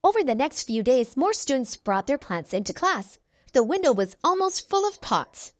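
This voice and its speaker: A woman's voice: high-pitched, sing-song, moderate pace, dry recording. A woman narrating a children's book aloud, expressively and with careful enunciation. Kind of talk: read-aloud storytelling for young children.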